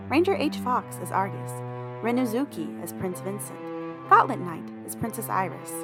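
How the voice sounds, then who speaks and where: Casting: female credits announcer, mid-pitched, scripted read over prominent music. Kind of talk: scripted narration.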